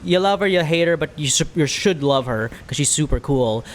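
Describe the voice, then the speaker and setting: Casual game streamer, animated swooping pitch, excitable, repetitive phrasing, talking to live chat, faint background noise. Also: brisk. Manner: excitable, repeats words and phrases. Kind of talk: casual livestream gaming commentary with reactions.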